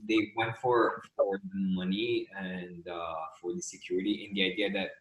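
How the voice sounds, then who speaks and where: A man's voice: low-pitched, level tone, normal speed, reverberant, low-quality recording. A man hosting a podcast in a casual interview, affirming his guest and asking easy follow-up questions. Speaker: podcast host.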